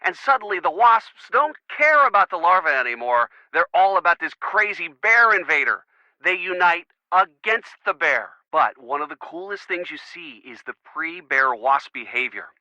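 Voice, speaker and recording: An actor playing a chatty, jokey college quarterback boyfriend with an American accent, in casual video game phone dialogue. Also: male voice, fairly high pitch, moderate pace, no room sound, muffled recording, heavily acted. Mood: earnest, excited. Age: young adult. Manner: jokey, animated, slangy.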